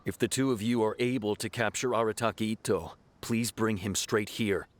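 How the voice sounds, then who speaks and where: A man's voice: on the low side, steady tone, moderate pace, close to an everyday voice. A man playing a formal city officer. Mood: official. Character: stern male city officer hunting a culprit. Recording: game audio with soft background music.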